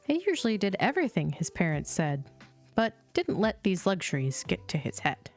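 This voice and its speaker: A woman reading children's storybooks aloud as a host, in a measured, sing-song storytelling delivery. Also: on the low side, big pitch swings. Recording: music playing behind the voice. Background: chill music.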